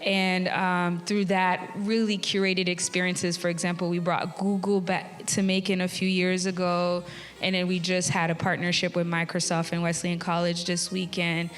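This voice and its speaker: A woman's voice: fairly low pitch, flat delivery, normal speed. A woman from Haiti in her thirties, a tech community founder speaking earnestly on a live theatre panel. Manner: earnest, friendly, with fillers and laughs. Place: theatre stage. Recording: long reverb of a theatre hall.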